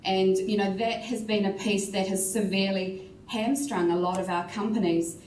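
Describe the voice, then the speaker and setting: Mellow-voiced New Zealand woman on a panel. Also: mid-range voice, steady tone, echoey room, recorded from a distance, a little hiss or hum, rough recording. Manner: measured, many "you know" and "um" fillers. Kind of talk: casual panel discussion.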